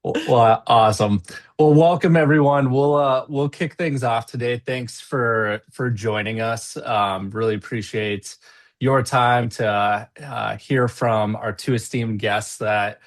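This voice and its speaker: A man's voice: mid-range voice, takes it slowly. A man hosting a webinar over a video call, chatty and upbeat with plenty of fillers. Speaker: webinar host.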